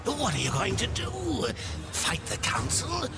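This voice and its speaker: Gravelly, swooping, sadistic demon jailer. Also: male voice, high-pitched, wildly swooping pitch, measured pace, music in the background, heavily acted. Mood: furious, raging. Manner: taunting, cackling, theatrical. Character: sadistic, mocking male demonic jailer, crude and gleeful. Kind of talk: video game cutscene acting.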